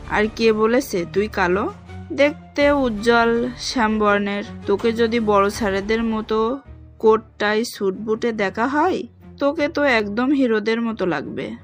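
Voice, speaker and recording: A woman's voice: a little high, close to an everyday voice. A woman acting a caring, scolding young friend in an audio story drama. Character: caring, scolding young servant-family girl, loyal friend.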